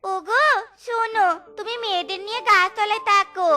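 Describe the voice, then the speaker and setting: A woman's voice: extremely high pitch, steady tone, moderate pace, over music. A woman voicing a devoted, hardworking poor beggar wife and mother in a children's cartoon, with a childlike voice. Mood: caring.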